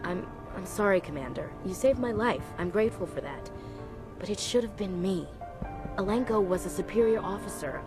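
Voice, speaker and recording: An actress playing a dutiful, proud young soldier, earnest and stubborn, in a video game cutscene. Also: female voice, mid-range voice, moderate pace, natural voice. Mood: remorseful. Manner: earnest, deferential yet stubborn. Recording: music clearly behind.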